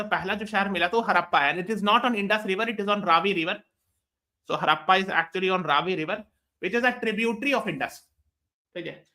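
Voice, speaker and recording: A man's voice: high-pitched, bright, forceful tone. A man with an Indian accent teaching a live online exam class, switching between English and Hindi, repeating himself and checking that students follow. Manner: repeats phrases and checks understanding often. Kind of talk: live lesson, explaining quiz answers to students.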